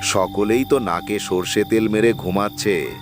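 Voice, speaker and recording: A man's voice: fairly low pitch, a little slow, music in the background, natural voice. An actor playing a cocky, dismissive henchman of a village gang in a horror cartoon.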